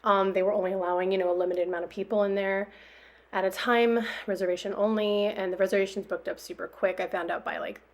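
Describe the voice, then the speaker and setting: Hoarse, chatty young woman. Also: mid-range voice, fairly even intonation, quick pace. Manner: rambling, chatty, many fillers and little laughs. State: extra hoarse after a workout. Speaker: planner vlogger. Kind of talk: casual voiceover chat.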